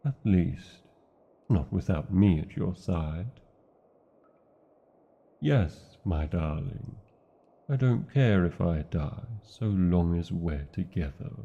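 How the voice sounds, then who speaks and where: A man's voice: sits low, gravelly, dark tone, slightly rough, muffled recording, heavily acted. A man with a British accent voicing a large, devoted, proud male dragon in a fantasy ASMR romance, speaking tenderly with theatrical, formal wording.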